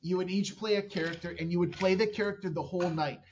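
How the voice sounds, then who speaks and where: A man's voice: high voice, steady tone, fast talker, a little mellow, slight background noise. A man in his thirties, a comedian guest telling a long story on a podcast, rambling and swearing and cutting himself off mid-sentence. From Canada. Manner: rambling, profane, self-interrupting storyteller.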